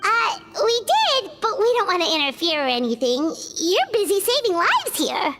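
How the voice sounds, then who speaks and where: A woman voicing a tiny childlike floating companion in a video game, excitable, blunt and eager, with a squeaky delivery. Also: normal speed, music in the background, full character voice. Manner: squeaky, exclamatory, indignant or eager. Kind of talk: English video game voice acting.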